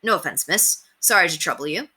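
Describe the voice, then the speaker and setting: A woman's voice: low voice, animated, a little fast, pushed and bright, breathy edge, exaggerated voice. A woman voicing a small, wiry, brash male street tout with clipped, cheeky, colloquial salesman patter in a storybook reading. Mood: sly.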